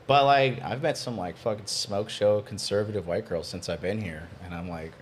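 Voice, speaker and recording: A man's voice: fairly low pitch, moderate pace, slight background noise. A man speaking as a podcast guest in blunt, casual chat, swearing freely.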